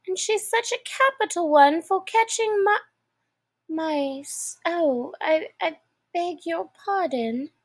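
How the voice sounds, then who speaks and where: Woman voicing a high-pitched, polite storybook girl. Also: takes it slowly, full character voice. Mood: soothing, dreamy, then apologetic. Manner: apologetic, soothing, dreamy rambling. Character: polite, eager, chatty young girl. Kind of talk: storybook character voice.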